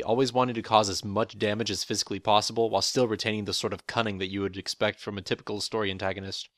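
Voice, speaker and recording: Young male voice actor, deep and dry, calm explainer reading a prepared piece from a dorm room. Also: dry recording. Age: young adult. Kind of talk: prepared interview monologue.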